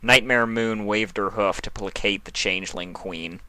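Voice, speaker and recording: A man reading scripted fan fiction as the narrator, in an expressive storytelling style. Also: lowish voice, normal speed, no room sound.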